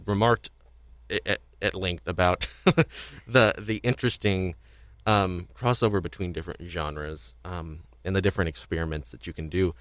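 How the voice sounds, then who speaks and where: A man's voice: low voice, a bit bright, no room sound. A man hosting a casual podcast in a rambling, hesitant way, often repeating himself. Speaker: podcast host.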